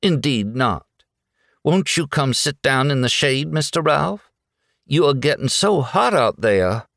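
A man voicing a stubborn, genteel Southern belle with a soft, lilting Southern US accent in a story reading. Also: mid-range voice, expressive, unhurried, no room sound, clean studio sound, pitched above the performer's own voice. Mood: coaxing. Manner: coy, genteel, breathy when moved.

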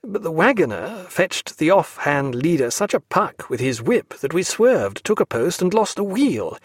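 A man's voice: fairly high pitch, very animated, moderate pace, slightly rough, no echo, voice pushed up. A man doing a rueful, apologetic ship's doctor. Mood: recounting. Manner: earnest, apologetic. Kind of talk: audiobook character voice.

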